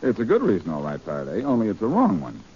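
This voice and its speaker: An actor playing a cocky, wisecracking amateur detective in a 1940s radio drama, breezy and sardonic. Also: male voice, lowish voice, huge pitch swings, quick pace, a little mellow, slightly rough, full character voice. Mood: dry, unhurried. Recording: dull, noisy 1940s radio recording.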